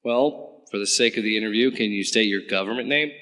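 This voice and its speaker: An actor playing a sarcastic, flustered young government agent in an audio drama. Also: male voice, fairly low pitch, a little fast, fairly bright tone, in a large hall.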